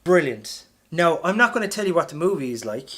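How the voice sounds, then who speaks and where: A man's voice: a little high, sing-song, fairly quick, fairly bright tone. An Irish man chatting casually as a podcast co-host, blunt and sweary, telling anecdotes. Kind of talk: casual chat, film review.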